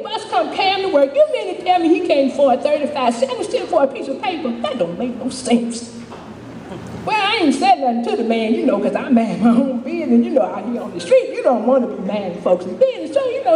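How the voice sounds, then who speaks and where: A woman's voice: high voice, darkish tone. A woman playing a chatty, good-humored older homeless widow, telling her story in a folksy, rambling stage monologue. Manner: folksy, rambling, repeats "you know", chuckling. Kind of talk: dramatic monologue, storytelling to a live audience. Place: indoor stage performance, distant microphone. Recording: echoey hall from far off, faint noise.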